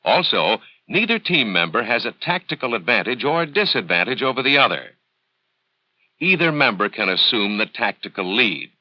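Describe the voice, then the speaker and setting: An American man narrating a formal instructional film script in a measured, authoritative, precise manner, on an old 1970s film soundtrack. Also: medium pitch, very animated, dry recording. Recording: dull, dry 1970s training film soundtrack.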